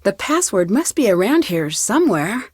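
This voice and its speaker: A woman playing a curious, determined young amateur detective in an adventure game, thinking aloud in clearly enunciated remarks. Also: mid-range voice, big pitch swings, normal speed, dry recording, studio quality.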